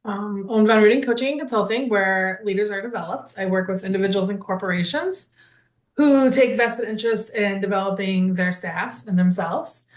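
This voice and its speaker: A woman from Wisconsin introduces her coaching business on a video call, upbeat and direct. Her voice has an ordinary middle pitch. The room adds a clear echo around her. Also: moderate pace. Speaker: leadership coach.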